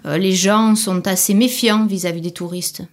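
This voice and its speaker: Woman with a southern French accent, middle pitch, measured interviewee. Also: fairly even intonation. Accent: southern French (Midi). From Corsica. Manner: measured, thoughtful, explaining at length. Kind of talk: unscripted interview answers for a language course.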